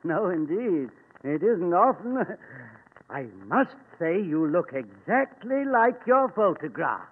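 An actor playing a fawning, humble old poor man, halting and obsequious, with a husky voice, in 1940s radio style. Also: high-pitched, big pitch swings, exaggerated voice. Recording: tinny old radio recording.

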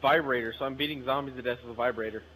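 A man's voice: medium pitch, steady tone, very fast, bright, forceful tone, a little hiss or hum, low-quality recording. A young man chatting casually as a co-op gaming partner, explaining the game in an offhand way. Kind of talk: casual gameplay chat. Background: game music.

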